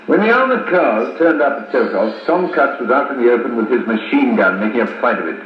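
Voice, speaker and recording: A man acting a formal, deliberate, middle-aged colonel narrating grim events in a 1950s radio serial. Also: medium pitch, lively intonation, lo-fi sound, natural voice. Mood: grave, solemn. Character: measured, authoritative British intelligence colonel recounting grim events. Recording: scratchy, echoing 1950s wire recording.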